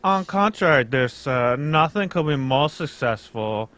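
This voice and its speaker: A man's voice: mid-range voice, lively intonation, unhurried, fairly bright tone. A man playing a pompous, formal king in an amateur radio drama, speaking with grand emphasis. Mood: relieved, grateful. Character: a pompous, anxious, grateful European king. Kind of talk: amateur old-time radio drama reading.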